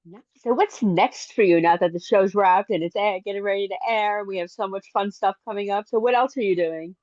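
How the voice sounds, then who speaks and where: A woman hosts an interview, asking questions and reacting with brief, friendly words. Her mid-pitched voice comes through dry with no room sound. The recording is slightly dull and muffled. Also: fairly quick, darkish tone, dry recording. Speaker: interviewer host. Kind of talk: casual interview chat.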